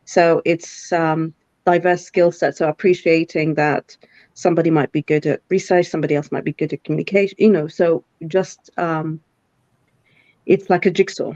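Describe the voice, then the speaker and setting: A woman's voice: low voice, steady tone, moderate pace, darkish tone, no echo. Middle-aged woman, CEO guest on a live video call, thoughtful and full of ums, dull dry audio. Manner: thoughtful, many fillers and restarts. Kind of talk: live online interview.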